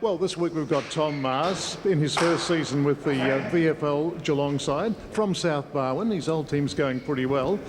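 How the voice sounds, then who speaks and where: Higher-pitched Australian male sports interviewer. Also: normal speed, few pauses, noisy background. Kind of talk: conversational broadcast sports interview.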